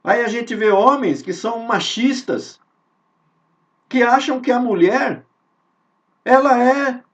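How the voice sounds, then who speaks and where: Earnest high-voiced Brazilian man preaching. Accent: Brazilian Portuguese. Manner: earnest, repeats phrases, rhetorical questions, drawn-out emphasis. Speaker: spiritist study leader. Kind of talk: informal devotional teaching. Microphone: video call. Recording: dull lo-fi sound from a distance.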